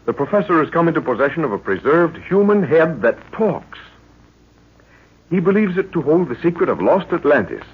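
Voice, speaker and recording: A dramatic male narrator of an old radio adventure serial. His delivery swings widely in pitch and is slightly husky, heard through a thin, phone-like vintage recording. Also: sing-song, moderate pace, exaggerated voice. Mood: dramatic, suspenseful. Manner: dramatic, declamatory. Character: dramatic male announcer of a jungle mystery serial. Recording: tinny, narrow-band old radio recording.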